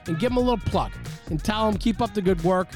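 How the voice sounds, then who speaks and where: A man's voice: high-pitched, fast, music in the background. A man hosting a fire service podcast, reading sponsor spots in an upbeat, sing-song promotional way. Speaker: podcast host. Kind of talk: sponsor reads and interview.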